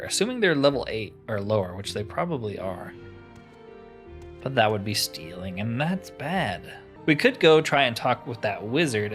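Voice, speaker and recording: A man's voice: medium pitch, a bit bright. A man giving casual playthrough commentary as a gaming host, thinking aloud and using mild exclamations.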